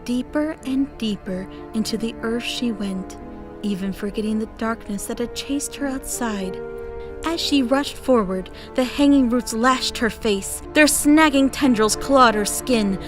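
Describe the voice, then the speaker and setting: A woman narrating a scripted fantasy story in an expressive, dramatic storytelling manner. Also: a little high, unhurried. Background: music.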